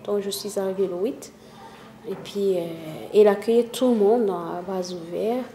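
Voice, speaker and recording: Witness: soft-toned woman from Rwanda, halting delivery, roomy echo, faint background noise. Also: medium pitch. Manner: halting, searching for words, many fillers. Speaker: interviewee, witness. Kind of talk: spoken testimony.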